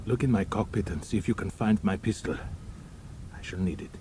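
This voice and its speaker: A man's voice: on the low side, brisk, mellow, slight background noise, close to an everyday voice. An actor playing a wounded German airman in an audio drama, stoic and resigned, speaking weakly but matter-of-factly. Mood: grimly pleading. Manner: weak, resigned, matter-of-fact.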